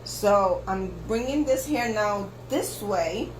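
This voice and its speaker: A woman hairstylist whose first language is Spanish, giving slow step-by-step instructions for a haircut, with lots of repetition. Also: mid-range voice, expressive, a little hiss or hum. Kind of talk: haircut tutorial narration. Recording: echoey room with faint ambience.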